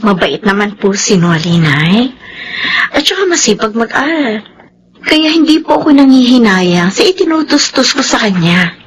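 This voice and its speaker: A middle-aged woman speaks in a somewhat high, gentle voice. She is respectful and earnest, with faint noise behind her. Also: big pitch swings, normal speed. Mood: reassuring. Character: patient, caring middle-aged daughter-in-law and mother. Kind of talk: radio drama acting.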